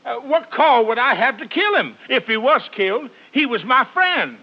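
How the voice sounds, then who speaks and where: An actor playing a hard-of-hearing, befuddled country ranch hand in a 1950s radio drama, folksy and rambling. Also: male voice, extremely high pitch, big pitch swings, normal speed, exaggerated voice. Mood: defensive, foggy. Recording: muffled old radio recording.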